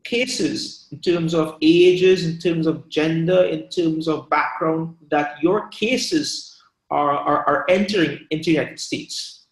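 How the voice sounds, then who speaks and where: A man's voice: a little high, fairly even intonation, slow. A man asking a long, formally worded question in an online seminar, using wordy academic phrasing. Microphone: video call. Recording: echoey room over video call.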